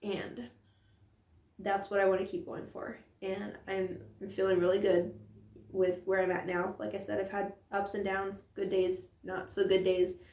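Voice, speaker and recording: A woman's voice: medium pitch, little pitch movement, measured pace, mellow, distant mic. A mother vlogging in an earnest, rambling way, with a strained voice from a sore throat. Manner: rambling and earnest, many fillers. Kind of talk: candid personal vlog monologue. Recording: roomy echo, voice set back from mic.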